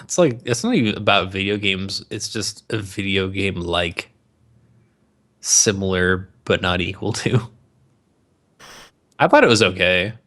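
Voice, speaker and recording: A man's voice: deep voice, unhurried, pauses often, fairly bright tone. A man co-hosting a podcast in casual, crude banter about movies, with plenty of swearing. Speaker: podcast co-host.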